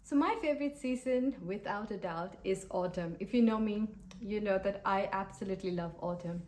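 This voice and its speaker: A woman in her late twenties from Sri Lanka, talking brightly and directly to her viewers in a scripted get-to-know-me video. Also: medium pitch, normal speed. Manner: upbeat, lively, addressing viewers directly. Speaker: vlogger. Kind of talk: scripted vlog to camera.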